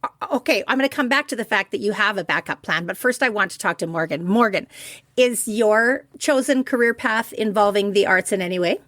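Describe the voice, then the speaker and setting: A woman's voice: medium pitch, fairly quick, fairly bright tone. A middle-aged woman co-hosts a casual podcast, asking guests questions with a playful, teasing edge. Her voice sounds close and dry, with no room echo. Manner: playful, teasing, easily laughing. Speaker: podcast co-host.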